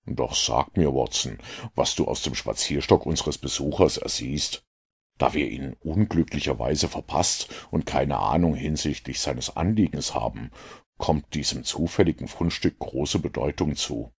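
A man voicing a calm, superior detective in an audiobook, measured and dryly condescending. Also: very deep voice, gravelly, breathy edge, voice pushed down, exaggerated voice. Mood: probing, calm.

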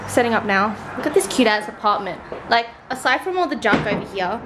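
A woman's voice: a little high, expressive, brisk. A woman, chatting casually and playfully in a vlog. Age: early 20s. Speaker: vlogger. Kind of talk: casual birthday vlog chat. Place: apartment bedroom and living room. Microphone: handheld camera at arm's length. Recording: loud background noise, handheld camera in apartment.

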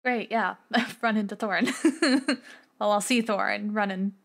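A woman's voice: medium pitch, takes it slowly, gravelly. A woman playing a tabletop game, speaking as her character in a casual, polite way. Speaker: tabletop role-play player. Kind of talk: casual in-character role-play.

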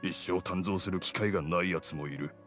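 A man voicing a gruff, proud blacksmith in a video game, speaking in a blunt, measured, rough-spoken way. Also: deep voice, lightly acted. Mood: solemn, earnest. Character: gruff, proud, no-nonsense male blacksmith craftsman. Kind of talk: Japanese video game voice acting. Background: background music.

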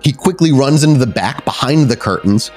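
A man's voice: mid-range voice, lively intonation, fairly quick, a little mellow, soft background music. A man improvising descriptive narration as the storyteller of a tabletop roleplaying game, in a casual, conversational way.

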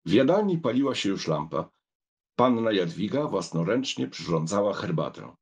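A man's voice: on the low side, very animated, moderate pace, darkish tone, husky. A man narrating a literary audiobook from a script, as a storyteller.

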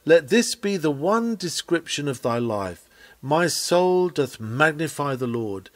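A man reading a written sermon aloud in an earnest, oratorical manner with measured phrasing. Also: on the high side. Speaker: sermon reader.

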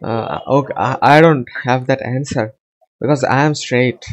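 A man's voice: mid-range voice, normal speed. A young man in his teens from India with an Indian accent, chatting casually and hesitantly, repeating his phrases. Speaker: host of English conversation chats. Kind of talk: casual chat. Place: small room with shelves. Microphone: headset on video call.

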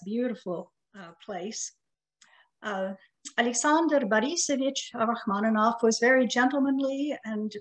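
A middle-aged woman whose first language is Russian delivers a prepared lecture in a measured, careful manner. Her voice sits at an ordinary middle pitch, and her phrases tend to trail off softly at the ends. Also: poor audio. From United States.

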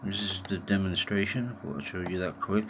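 A man giving a casual, halting hands-on demonstration, muttering to himself in an informal way. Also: low-pitched. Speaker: tech video maker. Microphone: handheld phone or camera held over the device. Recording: noisy amateur handheld camera recording.

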